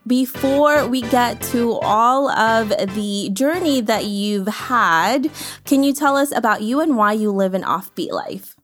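A woman's voice: fairly high pitch, lively intonation, takes it slowly, faint music behind. A woman hosting a podcast, moving between scripted introductions and upbeat interview chat. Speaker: podcast host.

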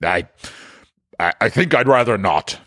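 A man's voice: on the low side, lively intonation, a little slow, fairly bright tone. A man voicing a frightened, pleading old miser who speaks in a hesitant, faltering way. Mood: nervous.

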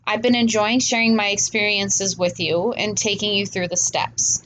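A woman with a stuffy, congested voice from a cold hosts a solo podcast. She talks in an earnest, chatty, personal way at a middling pitch. A faint background noise sits under her voice. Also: flat delivery, normal speed, fairly bright tone, poor audio. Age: adult parent. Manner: earnest, chatty, laughs at herself. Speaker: podcast host. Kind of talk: casual solo podcast talk.

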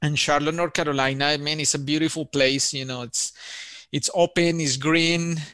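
A man's voice: fairly high pitch, fairly even intonation, moderate pace, pushed and bright, smooth, dry recording. Upbeat Colombian-accented man, dry sound. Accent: Colombian Spanish. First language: Spanish. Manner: friendly, enthusiastic, says man and you know often. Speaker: architect and podcast guest. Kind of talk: casual interview storytelling.

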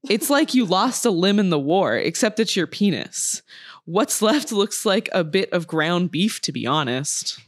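A woman playing an unfazed, matter-of-fact doctor in a comedy sketch, delivered deadpan. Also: on the low side, unhurried. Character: unfazed clinical female doctor hearing absurd confession.

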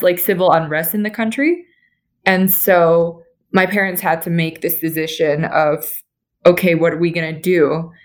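Casual young woman telling a story. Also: lowish voice. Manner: casual, with many "like" fillers. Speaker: guest, architect. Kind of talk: interview storytelling. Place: remote call from California.

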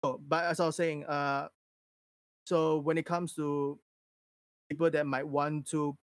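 A Singaporean man with Mandarin as his first language talks in a slightly high-pitched voice, recorded close and dry. He chats casually and candidly, with animated delivery, like a streamer talking to his viewers. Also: a little slow, dry recording. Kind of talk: casual livestream chat.

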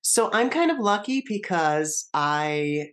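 A woman speaking as a guest in a casual conversation, thoughtful and measured. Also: on the low side, very animated, a bit bright. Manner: thoughtful, measured, open about voice.

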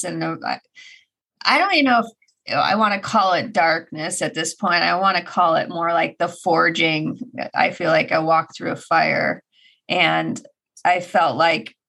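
A woman in her forties co-hosting a podcast, her voice a little raspy after an illness, chatting in an animated way and laughing often. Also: deep voice. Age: 40s. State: slightly raspy from recent illness. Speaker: podcast co-host. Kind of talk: casual chat.

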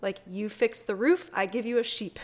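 A woman's voice: mid-range voice, normal speed. A woman hosting a casual chat in an informal, goofy way, with slangy talk peppered with "like". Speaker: host.

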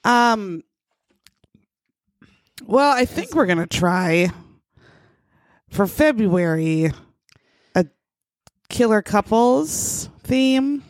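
A woman co-hosting a podcast in casual, joking chat, her voice worn and hoarse. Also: medium pitch, lively intonation, extremely slow, long pauses, no room sound, studio quality. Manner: joking, rambling. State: losing her voice. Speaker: podcast co-host.

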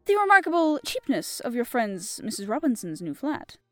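A woman reading aloud and voicing a precise, formal older male detective with a deliberate manner and a tried French accent. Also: a little high, sing-song, dry recording, pitched above the performer's own voice.

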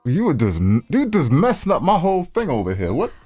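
A man's voice: on the high side, wildly swooping pitch, brisk, darkish tone, slightly rough, dry recording, faint music behind. An American man co-hosting a podcast in casual, playful banter, emphatic and slangy, repeating himself for effect. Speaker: podcast co-host.

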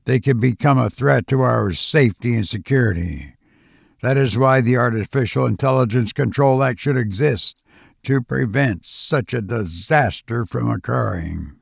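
A man's voice: mid-range voice, moderate pace, vocal fry, slightly rough, dry recording, clean studio sound. A man voicing an intense, alarmist male witness in an audiobook, warning of catastrophe in grave rhetoric.

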